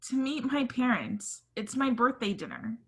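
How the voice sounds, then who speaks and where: A woman playing an eager, lovestruck teenage girl who gushes excitedly in a comedy table read. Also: on the high side, moderate pace, slightly rough, exaggerated voice. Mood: gushing, lovestruck.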